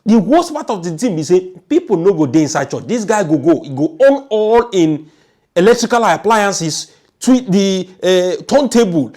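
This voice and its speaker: A man's voice: sits high, big pitch swings, normal speed, darkish tone. A man with a Nigerian accent giving informal, emphatic storytelling commentary, often repeating his phrases. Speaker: online news commentator.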